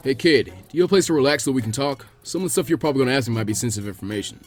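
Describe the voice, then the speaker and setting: A man puts on a gruff older ninja mentor from a story. He speaks bluntly, with some exasperation. His explanations are weighty and a little halting. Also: medium pitch, animated, faint music behind, natural voice. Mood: casual. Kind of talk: character voice in fan fiction reading.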